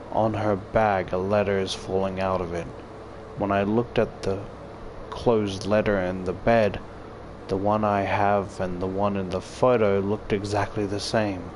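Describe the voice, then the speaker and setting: A man's voice: low voice, noisy background. A man reading a visual novel aloud as a gaming YouTuber, with casual, profane commentary and exclamations.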